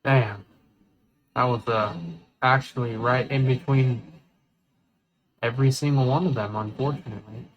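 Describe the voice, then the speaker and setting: A man's voice: mid-range voice, fairly even intonation, moderate pace, long pauses, dull audio. Casual man giving a step-by-step tutorial. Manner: step-by-step, thinking aloud. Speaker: video editing tutorial presenter. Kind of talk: casual screen-recorded tutorial.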